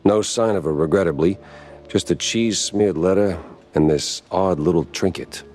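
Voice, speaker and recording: A man's voice: sits low, moderate pace, a little mellow. A man voicing a wry, world-weary mouse private detective in a noir video game, with a hard-boiled delivery. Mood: dry, probing. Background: music.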